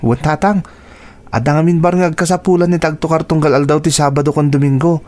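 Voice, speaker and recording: A man acts as an aspiring young musician, the respectful son in a radio drama. His voice is a little high for a man, and his delivery is earnest and pleading. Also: fairly even intonation, fast, a little mellow, lightly acted. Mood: earnest, hopeful. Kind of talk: Ilocano radio drama acting.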